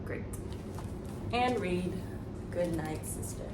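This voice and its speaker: A woman's voice: on the low side, slow. A woman hosting a children's read-aloud at home, friendly and chatty as she reads a picture book. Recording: echoey room, distant mic, background noise.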